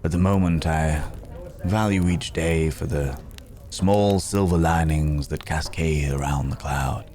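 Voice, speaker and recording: A man playing a weary, philosophical cursed werewolf in an intimate ASMR roleplay, musing in courteous, old-fashioned words with long, hesitant pauses. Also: very deep voice, a little mellow. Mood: wistful, doubtful. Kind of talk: slow intimate ASMR audio roleplay acting. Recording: background crowd murmur.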